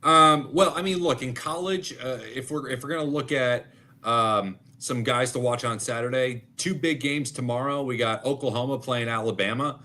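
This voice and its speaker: A man's voice: medium pitch, pushed and bright. A man co-hosting a sports podcast, talking casually and thinking aloud with plenty of ums and restarts. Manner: thoughtful, halting with fillers. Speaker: podcast co-host and football analyst.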